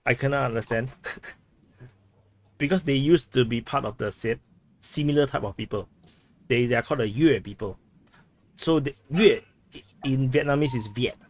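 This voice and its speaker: A man in casual group chat on a low-quality backup recording, talking haltingly with many restarts while he explains things through comparisons. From Southeast Asia. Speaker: chat participant. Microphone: video call.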